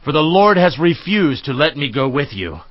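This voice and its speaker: An earnest, excitable seer with a fairly high, swooping voice. He declaims emphatically in audio Bible drama style, in a dry, slightly dull recording. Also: normal speed, no echo. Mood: earnest, firm. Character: Balaam, earnest male seer, quick to exasperation.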